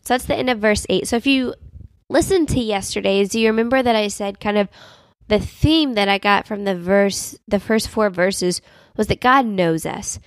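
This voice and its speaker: Young woman, lilt, earnest podcast host, husky from a sinus infection, bits of vocal fry, dead-dry sound. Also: mid-range voice, normal speed, dry recording. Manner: chatty, earnest, lots of fillers like "um" and "like". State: recovering from a sinus infection, voice cutting in and out. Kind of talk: casual devotional podcast talk.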